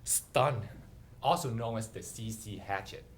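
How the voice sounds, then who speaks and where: A man giving a dramatic storytelling speech, with theatrical, deliberate phrasing. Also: fairly low pitch, slight background noise. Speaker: club speaker telling a story.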